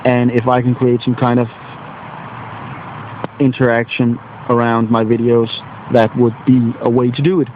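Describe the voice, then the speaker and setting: A man's voice: medium pitch, flat delivery, normal speed. Danish man, Danish accent, slight cold, rambling self-deprecating teacher, tinny lo-fi camera audio, faint hiss. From Denmark. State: has a cold. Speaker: teacher and video blogger. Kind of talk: casual outdoor vlog. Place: outdoors on a wet bench in nature.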